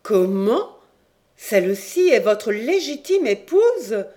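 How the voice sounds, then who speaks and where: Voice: mid-range voice, lively intonation, takes it slowly, a little mellow, pitched above the performer's own voice. A reader voicing an older queen who is shrewd and scolding toward her husband. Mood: delighted.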